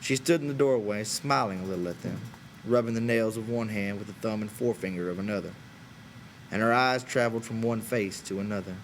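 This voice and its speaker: A man's voice: lowish voice, a little fast, slight background noise, low-quality recording. A man reading an audiobook as dramatic scripted narration. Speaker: audiobook reader.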